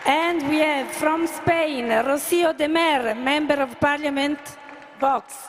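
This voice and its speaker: A woman with an Italian accent moderating a live conference panel in halting, hesitant English with repeated words. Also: sits high, a crowd in the background. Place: conference venue. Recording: faint live venue background noise.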